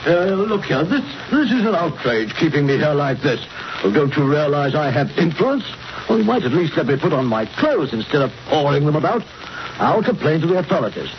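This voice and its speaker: Huffy posh gent, spluttering, a bit high pitched, muffled old radio show. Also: male voice, very animated, fairly quick. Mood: indignant. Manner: spluttering, pompous, hesitant. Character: indignant, pompous, flustered English gentleman. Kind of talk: 1940s radio drama acting. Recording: muffled, noisy old-time radio recording.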